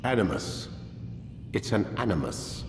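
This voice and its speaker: An older scientist holding someone captive in a video game. His low voice rises and dips with clinical condescension and quiet menace. It rings in an echoey space over clear music. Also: lively intonation. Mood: condescending. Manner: measured, condescending, clinical, dryly formal. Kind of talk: cinematic video game dialogue. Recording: echoey hall reverb, music behind.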